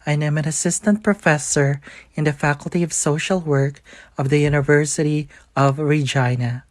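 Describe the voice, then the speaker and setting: A woman from the Philippines speaks with a very low voice. She gives a formal, plain introduction of herself as a professor. The sound is dry and close. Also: fairly even intonation, normal speed, no room sound. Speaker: assistant professor.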